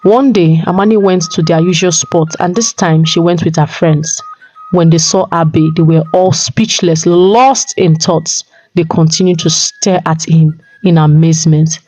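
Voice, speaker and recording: A woman's voice: on the low side, unhurried, warm tone, rough recording. A woman storyteller reading a scripted folktale narration. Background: music.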